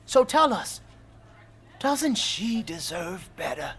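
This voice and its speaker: Indignant politician, declamatory, impassioned, swooping intonation, combative, video game stump speech. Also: male voice, sits high, sing-song, takes it slowly, fairly bright tone, full character voice.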